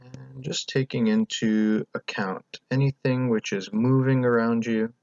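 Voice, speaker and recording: A man leading a guided meditation as the teacher, speaking in a calm, gentle, unhurried way with pauses between instructions. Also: medium pitch, creaky, dry recording, highs cut off.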